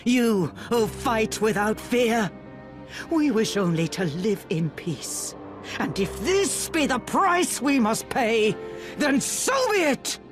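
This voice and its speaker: A woman's voice: on the high side, big pitch swings, slow, over music. A woman playing a bitter, grieving, defiant warrior in a video game, with solemn, formal diction. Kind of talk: video game cutscene acting.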